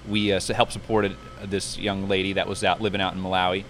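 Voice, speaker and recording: Male restaurant manager, lowish voice, brisk rambling interview chat, slight background noise. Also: flat delivery, a bit bright. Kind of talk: casual promotional interview chat. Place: inside a pizza restaurant.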